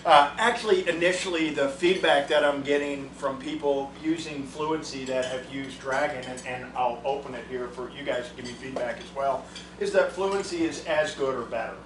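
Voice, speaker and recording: Chatty man teaching software in an echoey room. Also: mid-range voice, moderate pace, noisy background. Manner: chatty, jokey, restarts often. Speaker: trainer. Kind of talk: casual training talk. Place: meeting room, in the room with the group. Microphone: room mic, far from the speaker. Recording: echoey room recorded from far off.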